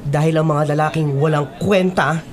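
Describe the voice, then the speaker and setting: A woman's voice: deep voice, fairly even intonation, normal speed, a little mellow, close to an everyday voice. A young woman playing a concerned, scolding best friend in a Filipino radio drama, emphatic and exasperated. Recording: faint crowd chatter behind.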